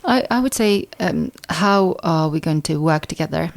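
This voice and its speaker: A woman in her fifties, raised in Sweden, speaking low with a gravelly edge. She talks things through as a podcast guest, circling back and restarting phrases as she thinks. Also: lively intonation, moderate pace. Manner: thoughtful, with frequent restarts and repeated words. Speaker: guest chiropractor. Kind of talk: conversational interview.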